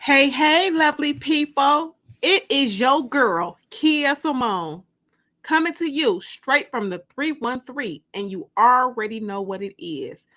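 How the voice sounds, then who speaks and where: A woman hosting a livestream as an author, chatting and reading dramatically from a book with drawn-out, emphatic delivery. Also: sits high, animated, pushed and bright. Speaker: host and author.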